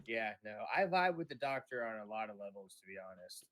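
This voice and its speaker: A man's voice: lowish voice, big pitch swings, brisk, a bit bright, dry recording. An American man from New York City chatting casually as a guest, blunt and informal. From New York City, United States. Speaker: podcast guest, streamer. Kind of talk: casual chat.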